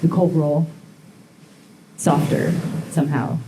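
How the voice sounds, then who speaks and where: A woman's voice: deep voice, slow pace, noisy background. A young woman blacksmith talking casually through a live demonstration, thinking aloud as she works. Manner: relaxed, thinking aloud while working. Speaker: blacksmith giving a demo. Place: forge workshop at a hammer-in event. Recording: echoey workshop with faint ambience.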